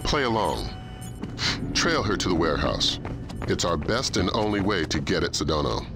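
A man playing an authoritative intelligence handler in a video game, giving orders in a brisk, commanding manner. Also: low-pitched, big pitch swings. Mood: dry, instructing. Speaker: mission handler. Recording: loud music and engine noise behind.

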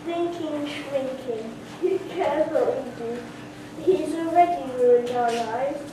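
A schoolgirl playing a defiant, grumbling peasant in an amateur puppet play, with halting delivery. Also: high-pitched. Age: schoolgirl, about 12. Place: school classroom. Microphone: distant camera. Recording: echoey noisy classroom from far off.

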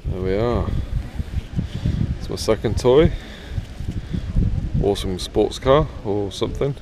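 Man, middle-aged, low voice, casual chatty commentary, outdoors on a beach, action camera mic, loud wind noise. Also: takes it slowly. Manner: chatty, upbeat, talks to himself while searching. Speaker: metal detecting vlogger. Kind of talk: casual commentary while digging. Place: windy sandy beach outdoors. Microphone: head-mounted action camera.

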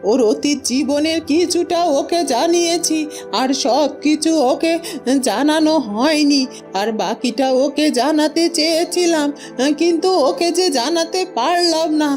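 A woman's voice: high-pitched, a little slow, a little mellow, soft background music. A woman voicing an anxious, pleading old aunt in a melodramatic cartoon fairy tale.

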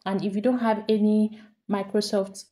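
Mellow-voiced woman, level and unhurried, walking viewers through a computer setup one click at a time. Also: medium pitch. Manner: steady step-by-step instruction, repeats each step. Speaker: tutorial host. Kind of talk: screen-recorded software tutorial.

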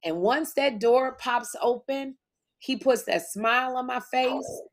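A woman in her fifties, co-hosting a podcast, with a deep voice, telling stories animatedly and acting out what people say. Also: takes it slowly. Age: 50s. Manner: animated storytelling, acts out quotes. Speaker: podcast co-host. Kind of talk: casual faith-filled chat.

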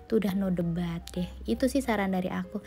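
A woman in her thirties chatting casually to viewers in a cooking vlog voice-over, slightly hoarse and nasal from a sore throat. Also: mid-range voice, fast talker, mellow, soft background music. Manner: chatty, friendly, addresses viewers as friends, many fillers. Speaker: homemaker vlogger. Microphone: phone recording.

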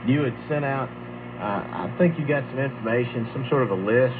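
A man hosting a conversational radio show over shortwave, speaking in a measured, deliberate way. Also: medium pitch. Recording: noisy narrow-band shortwave radio reception.

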